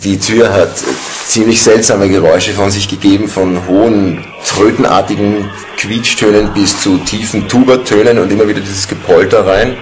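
Man, very low voice, casual account, noisy location sound. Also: fairly even intonation, moderate pace. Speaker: paranormal investigator, interviewee.

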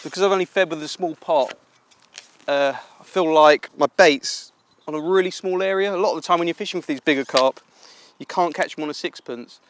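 A young man coaching listeners through an angling method in a relaxed, explanatory way, speaking partly as a voice-over. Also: fairly high pitch, fairly quick, slight background noise. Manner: relaxed explanatory, practical tips. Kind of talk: instructional voice-over and commentary. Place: outdoors at a lake.

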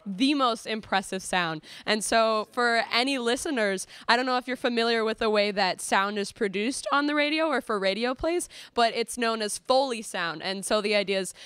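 A woman's voice: on the high side, fairly bright tone, clear, resonant tone, no echo. A young woman hosting casually between live radio plays, chatty and enthusiastic. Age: young adult. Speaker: host.

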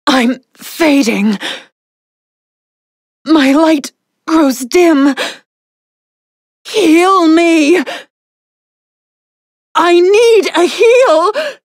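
A woman's voice: very high voice, expressive, very slow, pauses often, dry recording, studio quality, exaggerated voice. A woman voicing a vain, imperious yet playful young sun goddess for a video game, with a pompous, theatrical, condescending delivery. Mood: weakened, pleading. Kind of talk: video game character voice lines.

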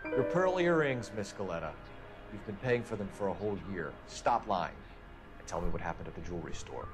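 A stern, by-the-book young policeman in video game dialogue. His voice is low and strongly inflected, with clipped, commanding questions. Music plays behind him. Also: lively intonation, a little fast. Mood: stern, accusing. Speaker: police officer.

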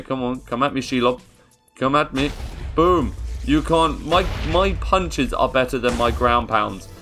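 A young British man giving casual, slangy gameplay commentary in an excitable, self-interrupting way. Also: mid-range voice. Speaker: gaming YouTuber. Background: music under the voice.

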